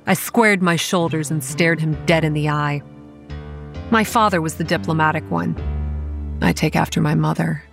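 Horror podcast lead: a hot-headed young woman running a campground, lower register, earnest dramatic narration with bite, over faint music. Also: steady tone, moderate pace, creaky. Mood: brooding. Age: young adult. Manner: earnest, dramatic storytelling with sardonic edge. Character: young woman campground manager, guarded, hot-tempered, stubborn. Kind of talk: horror audio drama, first-person narration and dialogue.

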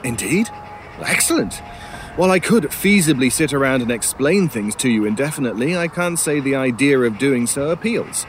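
A man's voice: mid-range voice, animated, moderate pace, slight background noise, lightly acted. A man playing a sardonic, impatient crow-like creature in an audio drama, speaking in dry, haughty, formal phrases. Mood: haughty, indulgent. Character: sardonic, impatient talking crow-like male creature, coolly superior.

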